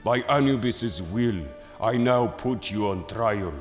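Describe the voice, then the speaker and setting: A man playing a grave, merciless mystic judge in an audio drama, speaking in an ominous, deliberate manner. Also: fairly low pitch. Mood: menacing. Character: solemn, merciless mystic judge passing sentence on souls. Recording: long cavernous reverb with music behind. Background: music.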